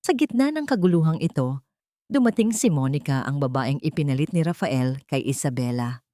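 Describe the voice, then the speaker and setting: A woman's voice: low-pitched, animated, normal speed, dark tone, dry recording, clean studio sound. A woman with a Filipino accent narrating a dramatic scripted audio story.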